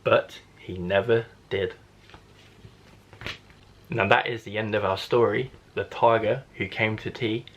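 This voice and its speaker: A man's voice: lowish voice, fairly even intonation, unhurried, pauses often, a bit bright, dull audio, slight background noise. A man, a primary school teacher, reading a children's storybook expressively to young listeners.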